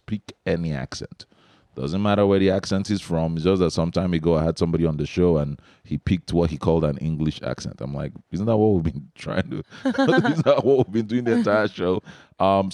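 Very deep-voiced male podcast host. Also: a little mellow, dry recording, studio quality. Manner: laid-back, rambling with restarts. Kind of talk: casual interview chat.

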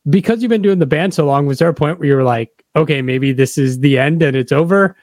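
A man's voice: a little high, brisk, darkish tone, dry recording, clean studio sound. A man hosting a podcast, asking interview questions in a conversational style.

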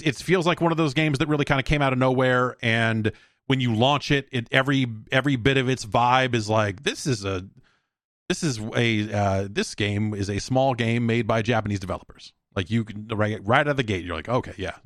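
A man with a mid-pitched voice hosts a casual solo podcast, recorded close and dry. He rambles conversationally, slipping in wry asides and playing out little imagined back-and-forths. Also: fairly bright tone, dry recording. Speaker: podcast host. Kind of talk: casual solo video game podcast talk.

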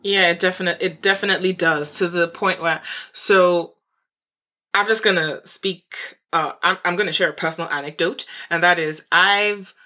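Nigerian woman chatting on a panel, lowish pitch, bright voice, animated. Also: normal speed. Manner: animated storyteller, mimics accents. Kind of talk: casual panel discussion.

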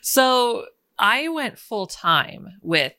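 A woman with a calming voice, a business coach chatting as a guest in an interview and laughing between thoughts. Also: on the high side, drawn-out words, dry recording. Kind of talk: casual interview chat.

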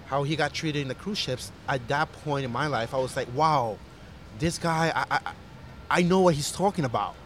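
A man's voice: on the high side, moderate pace, fairly bright tone, slight background noise. A man who grew up in Costa Rica, a chef talking earnestly and reflectively in a casual interview, with fillers and restarts.

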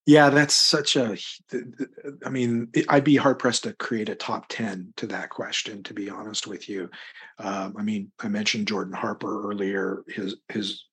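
A man's voice: on the low side, flat delivery, normal speed, fairly bright tone, breathy edge. A middle-aged American man talking casually as an interview guest, thoughtful and rambling, often hesitating as he works out his answer. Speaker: interview guest, crime fiction author.

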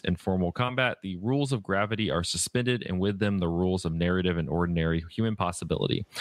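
A man hosting a podcast, reading prepared film notes aloud in a halting way and stumbling over words. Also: low voice, a bit bright, no room sound. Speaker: podcast host.

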